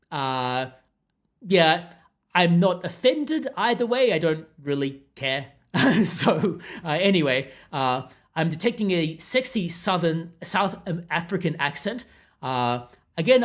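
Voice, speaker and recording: A man with a mixed international accent, hosting a podcast and answering viewer comments in a measured, unruffled way. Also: a little high, a bit bright. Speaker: podcast host.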